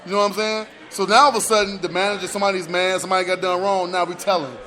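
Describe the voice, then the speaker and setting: A man's voice: high-pitched, level tone, rapid-fire, bright, forceful tone. An American man co-hosting a sports radio show, talking in casual slang and repeating words and phrases for emphasis.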